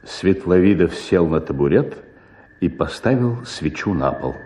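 Deep, dark-voiced male story narrator. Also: expressive. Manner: measured, deliberate phrasing. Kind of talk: literary story narration for radio.

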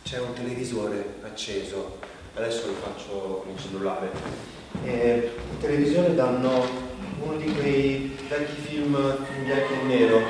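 A reflective narrator played by a male stage actor delivers a bleak theatrical monologue in a mid-pitched voice. The phrasing is conversational and halting, broken by pauses. It sounds far from the microphone in a very echoey hall, with music clearly behind. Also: lightly acted. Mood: quiet, halting.

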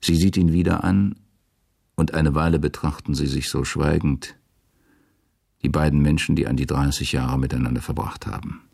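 Very deep, gravelly male narrator. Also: steady tone, normal speed, darkish tone. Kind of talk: scripted literary narration for radio drama.

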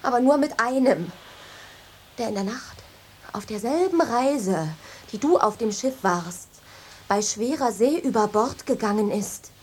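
An actress in a German radio drama playing a proud, defiant former ship stewardess who speaks plainly. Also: female voice, fairly high pitch, animated, a little slow, darkish tone, a little hiss or hum.

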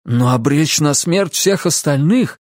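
A woman's voice: low-pitched, lively intonation, measured pace, a little mellow, no room sound, studio quality, pitched above the performer's own voice. A woman narrator voicing a devout young man who feels betrayed, anguished and accusing, in an audiobook.

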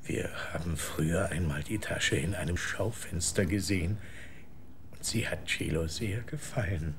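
An actor playing a grieving, embittered middle-aged father in a German radio drama, halting and sometimes sinking into a whisper. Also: low voice, slight background noise. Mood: bitter grief. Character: grieving, embittered father searching for his little girl.

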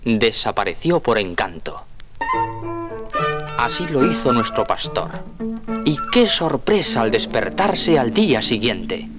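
A man's voice: mid-range voice, very animated, takes it slowly, pauses often, over music. A man narrating a scripted children's story as the storyteller.

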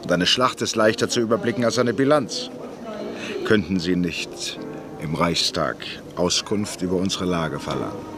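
An actor playing Rathenau, a grave deep-voiced industrialist. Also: male voice, music in the background, close to an everyday voice. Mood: earnest. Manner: earnest, measured, bitter. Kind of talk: radio drama acting.

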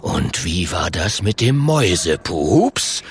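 Man voicing a deep, growling, huge cave bear. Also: sing-song, husky, heavily acted. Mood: menacing. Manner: slow, threatening, rumbling. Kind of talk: children's audiobook character voice.

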